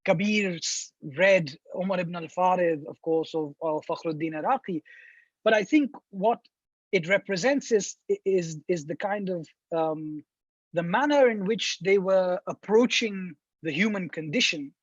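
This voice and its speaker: A man talks in a seminar, weighing each idea through restarts and fillers. His voice is husky and sits fairly high for a man. The sound is dry and a little muffled, like call audio. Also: a little slow, no room sound. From India. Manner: thoughtful, many restarts and fillers. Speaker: professor answering questions. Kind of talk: academic seminar talk.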